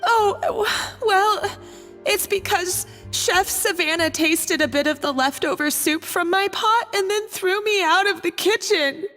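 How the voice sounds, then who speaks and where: Under music and long reverb, a young kitchen cook with a high voice. She is earnest and loyal, hesitant and easily flustered, in a video game style. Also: unhurried, fairly bright tone.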